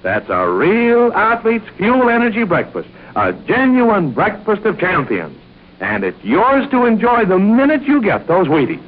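A man's voice: high voice, wildly swooping pitch, measured pace. A man announcing a 1940s radio commercial in an emphatic, salesmanlike way, speaking directly to young listeners. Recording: dull, lo-fi 1940s radio recording.

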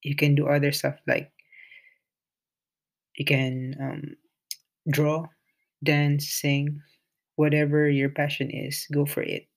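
Talks kinda slow and halting, lots of ums, flat delivery, raspy fry in the voice. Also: mid-range voice. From Philippines. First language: Filipino. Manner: hesitant, many pauses and restarts; shy, introverted. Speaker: podcast host. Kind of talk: solo podcast monologue.

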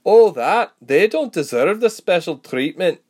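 A man acts out a tough armed woman from a fantasy audiobook, pitched high and swinging widely. She is gruff in temper, fearless and sardonic. Her speech is folksy and rustic. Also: expressive, measured pace, a little mellow, full character voice. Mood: matter-of-fact. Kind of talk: audiobook character voice.

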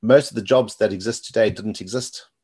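Low-voiced fast-talking male podcast host. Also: a little mellow, breathy edge. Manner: fast, rambling questions with repeated "you know". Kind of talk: interview questions.

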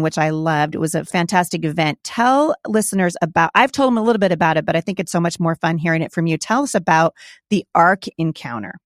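A woman's voice: lowish voice, dry recording, clean studio sound. Upbeat middle-aged woman hosting a podcast. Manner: upbeat, encouraging, chatty. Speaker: podcast host.

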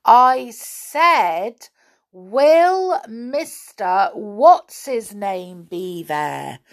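A woman's voice: a little high, very animated, slow pace, darkish tone, voice pushed up, full character voice. A woman voicing an earnest, polite, easily exasperated young boy in a children's storybook.

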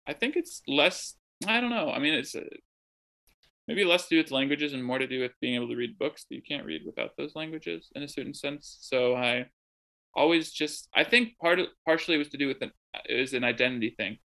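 A young American man from the Midwest, speaking as a guest over a remote connection from home. His voice has a gravelly, creaky edge with a bright, forward tone. He thinks aloud, circling back with ums and restarts. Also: mid-range voice, brisk. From Midwest of the United States. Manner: thoughtful, rambling with many ums and restarts. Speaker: interview guest, translator. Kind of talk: casual conversational interview. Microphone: remote call from home.

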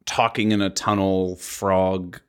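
Deep, low-pitched man chatting on a podcast. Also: flat delivery, takes it slowly, clear, resonant tone. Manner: rambling, heavy use of "like". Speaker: podcast co-host. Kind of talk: casual podcast chat. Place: apartment. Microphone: voice mic a couple inches from mouth.

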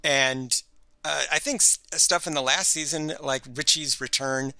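A man's voice: fairly high pitch, steady tone, a little slow, sharp tone, dry recording. A man co-hosting a casual podcast chat about an old sitcom, talking in a chatty way with frequent restarts. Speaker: podcast co-host.

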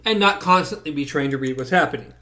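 A man's voice: fairly high pitch, fast talker, bright, forceful tone. A man chatting casually about anime, insisting firmly on his opinions.

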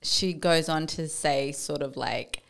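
A young woman with a deep, smooth, clear voice co-hosts a casual podcast. She talks in a relaxed, hesitant way, pausing often on fillers. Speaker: podcast co-host. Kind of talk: casual chat.

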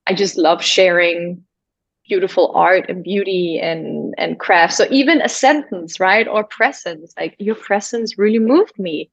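A woman from Denmark whose first language is Danish, speaking as a guest in an animated, expressive conversation. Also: measured pace, gravelly, dry recording. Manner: animated and expressive, pitch rising on key words. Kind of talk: casual conversation. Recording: slightly dull lo-fi sound.

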